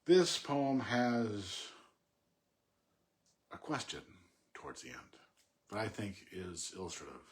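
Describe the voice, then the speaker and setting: A man with a fairly low voice talks very slowly as he works through a poem. His delivery is halting and reflective, in short phrases with pauses, like informal commentary thought out aloud. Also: big pitch swings. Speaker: poetry commentator.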